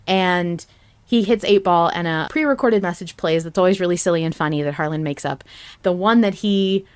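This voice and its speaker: A woman's voice: lowish voice, moderate pace, clear, resonant tone. A woman hosting a podcast, talking casually and with a fan's enthusiasm about a fiction show. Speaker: podcast host.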